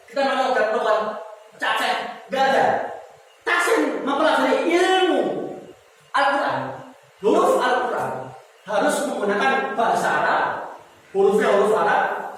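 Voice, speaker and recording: A man's voice: high voice, a bit bright. Man teaching in an echoing mosque hall. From Indonesia. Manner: explains step by step, repeats key words, asks the class questions. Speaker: Quran recitation teacher. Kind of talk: mosque lesson on tajwid. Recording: roomy echo with long reverb, from far off.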